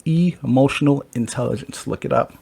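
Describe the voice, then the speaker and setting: A man's voice: medium pitch, moderate pace, vocal fry, a little mellow, breathy edge. Casual, loose-talking male podcast host. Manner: loose, casual, swears freely.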